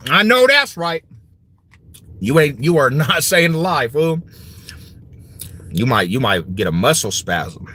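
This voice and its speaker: Guy in his 40s just chatting on stream, slang heavy, kinda low voice, noisy background. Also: pushed and bright. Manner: slangy, repeats his phrases. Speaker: livestream host. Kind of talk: casual livestream chat, replying to viewers. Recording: noticeable background noise.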